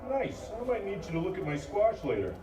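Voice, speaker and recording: Guy doing busy bossy ship worker, high animated voice, echoey far mic live show. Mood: brisk, busy. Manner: brisk, casual, bossy. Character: busy, brisk male ship's crew hand giving orders. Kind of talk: live audio drama acting. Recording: echoey live stage, distant mic, crowd.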